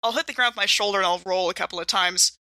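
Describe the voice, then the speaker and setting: Bright-voiced woman improvising at a game. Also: mid-range voice, fast talker, no echo. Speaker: tabletop game player on podcast. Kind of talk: casual improvised banter and storytelling.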